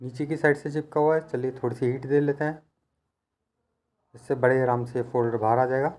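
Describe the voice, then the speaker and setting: A man's voice: mid-range voice, fairly even intonation, fairly quick, darkish tone. A man giving hands-on instructional narration as a repair technician, explaining things in a patient, practical, conversational way.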